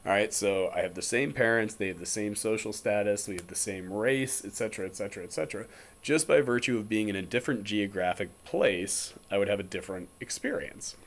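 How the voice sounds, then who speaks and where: A man in his twenties with an American accent, giving an informal philosophy lecture in a deliberate, repetitive way and checking in with his listeners. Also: fairly low pitch. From Connecticut, United States. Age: 20s. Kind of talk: informal explanatory lecture over slides.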